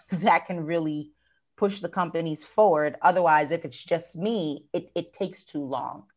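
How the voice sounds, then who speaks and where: An American woman with a notably low voice speaks as a guest in an interview about running a business. She talks in a conversational, thoughtful way, pausing on fillers and restarting phrases. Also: darkish tone. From United States.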